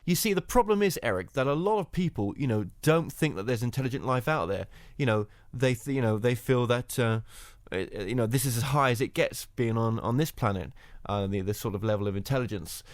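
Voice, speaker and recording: A man's voice: mid-range voice, expressive, brisk, dry recording, studio quality. A male host asking rambling interview questions full of "you know" fillers.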